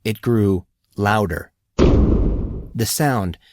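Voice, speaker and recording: A man's voice: on the low side, drawn-out words, very noisy. A man reading scripted fiction as an audiobook narrator.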